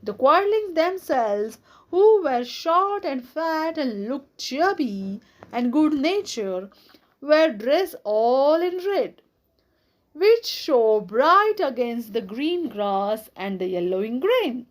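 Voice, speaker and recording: Slow, high-voiced woman reading a storybook. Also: very animated. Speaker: audiobook narrator. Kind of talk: children's storybook read aloud.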